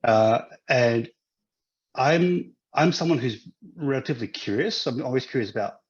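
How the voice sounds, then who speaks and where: A man's voice: mid-range voice, animated, normal speed, highs cut off. A man from Hong Kong who grew up in Australia, a guest in a casual interview, who talks with many restarts and fillers. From Hong Kong, raised in Australia.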